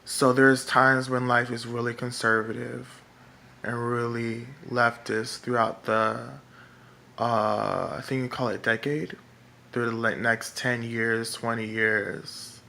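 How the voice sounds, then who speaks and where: Slow, gravelly man with a feminine-sounding voice. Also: medium pitch, level tone, bright, forceful tone. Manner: halting and rambling, full of fillers and restarts. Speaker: political vlogger. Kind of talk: casual monologue to camera.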